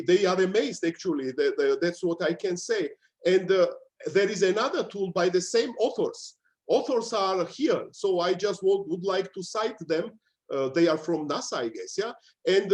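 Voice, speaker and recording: A man giving a halting online presentation over a video call, repeating words and searching for phrasing. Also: high voice, darkish tone. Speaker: workshop presenter.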